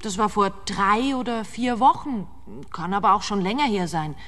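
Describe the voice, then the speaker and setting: A woman's voice: medium pitch, moderate pace, a bit bright, natural voice. A woman playing a blasé young make-up artist in a radio play, nonchalant and unbothered, with her voice ringing in long reverb.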